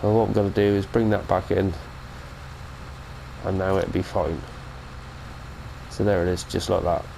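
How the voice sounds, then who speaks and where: A man's voice: low voice, flat delivery, moderate pace, creaky, long pauses, slight background noise, rough recording. A British man with a cold, giving a chatty, rambling mod review of a farming game and correcting himself as he goes. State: has a cold. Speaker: gaming channel host. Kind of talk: casual mod review commentary.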